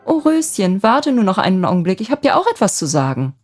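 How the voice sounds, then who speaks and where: Woman doing an eager, lively young man in love. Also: medium pitch, quick pace, faint music behind, voice pushed up. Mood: teasing. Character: ardent, cheerful young draftsman courting his sweetheart.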